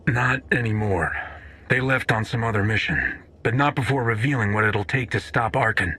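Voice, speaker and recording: A grave rebel leader for a game, husky voice, tense edge, serious and guarded. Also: male voice, on the low side, moderate pace, bright, forceful tone. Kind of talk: video game cutscene acting.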